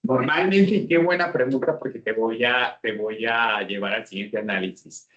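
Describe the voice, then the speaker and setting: A man's voice: mid-range voice, normal speed, fairly bright tone, lo-fi sound. A man with a Mexican accent giving a business seminar talk and often asking the audience questions. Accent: Mexican Spanish. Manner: engaging, asks the audience questions. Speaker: speaker.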